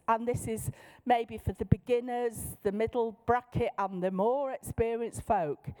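Higher-voiced Mancunian woman teaching a workshop. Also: dark tone. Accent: Manchester. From Manchester, England. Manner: light-hearted, down-to-earth, checks in with listeners. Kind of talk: informal teaching talk to a group.

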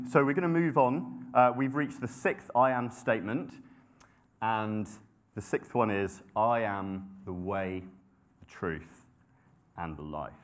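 Young male preacher, lowish voice; relaxed, humble sermon delivery with filler words and restarts. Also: takes it slowly. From grew up in Nepal and the UK. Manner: conversational, self-deprecating, with frequent ums and restarts.

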